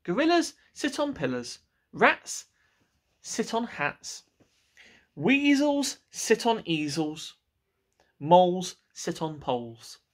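A man's voice: high-pitched, very animated, voice pushed up, full character voice. A man voicing a bossy, stubborn cat from a children's picture book, insistent and emphatic. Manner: emphatic, drawn-out, insistent delivery. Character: bossy, stubborn male cat laying down rules.

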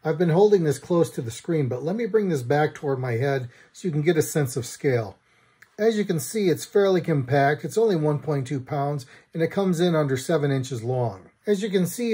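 A man's voice: a little high, warm tone, husky. A middle-aged American man presenting a product review to camera with wry, dry humour, recorded in a room at home. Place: small room at home, indoors.

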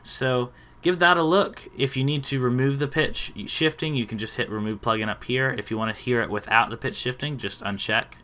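A man, giving an informal step-by-step software tutorial as the host. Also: mid-range voice, brisk, hardly any pauses.

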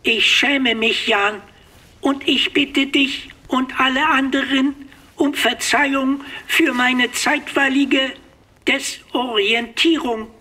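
A soft-spoken, bright android. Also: female voice, high voice, fairly even intonation, slow pace, full character voice, poor audio. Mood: sheepish.

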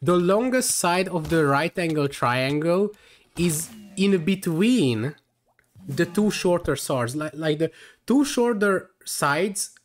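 A man's voice: a little high, a little hiss or hum. A young man from Hungary with a Hungarian accent, streaming a game live and chatting in a rambling way with lots of fillers, tired late in the session. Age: young adult.

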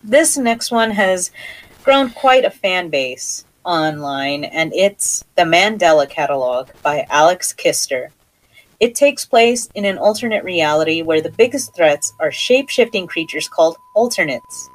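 A woman's voice: on the low side, lively intonation, unhurried, poor audio. A woman hosting a horror podcast, talking in a conversational, playful and enthusiastic way. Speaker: podcast host.

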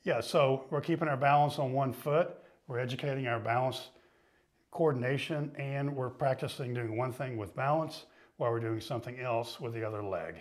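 A middle-aged man coaching ski fitness, explaining each exercise calmly while he demonstrates it in a studio. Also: mid-range voice, moderate pace. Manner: patient, explanatory, talks while moving.

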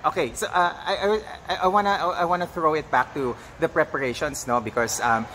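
Guy interviewing, bright sharp voice, lots of um and restarts, faint hiss. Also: fairly high pitch. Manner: halting, many "um" and restarts, mixes in Tagalog. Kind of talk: interview questions.

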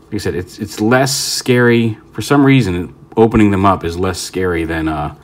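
Deep-voiced man reviewing a toy. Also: slight background noise. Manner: relaxed, rambling, many fillers and restarts. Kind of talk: casual hands-on toy review. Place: indoor tabletop setup.

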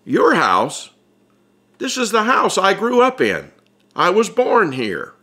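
Man acting brash middle-aged celebrity, teasing, breezy, swaggering, bright forceful tone, lively pitch, audiobook dialogue. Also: takes it slowly, exaggerated voice. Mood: grinning reproach.

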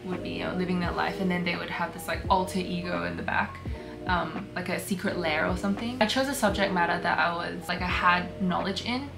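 Young woman from Hong Kong, relaxed candid vlogger, slightly low voice, music under the voice. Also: a little fast, vocal fry. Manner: chatty, many fillers, relaxed and candid. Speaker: concept artist. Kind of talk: casual talk over artwork. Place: small bedroom studio, indoors.

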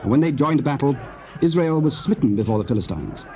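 A man's voice: mid-range voice, animated, brisk, warm tone. A man narrating scripture in a solemn, scripted style. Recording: dull, faintly noisy old cassette.

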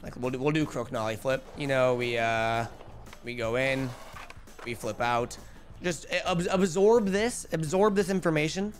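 A young man in his twenties streaming a skateboarding game, chatty and energetic, calling out his tricks, a bit hoarse. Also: medium pitch, normal speed, faint music behind. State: losing his voice. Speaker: gaming streamer. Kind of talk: live gameplay commentary. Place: home streaming room. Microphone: headset.

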